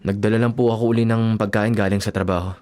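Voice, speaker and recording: A man acting in a radio drama as a devoted, self-sacrificing young boyfriend and son, gentle and reassuring, with a Filipino accent. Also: lowish voice, little pitch movement, fast talker, lightly acted. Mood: apologetic, explaining. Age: young adult.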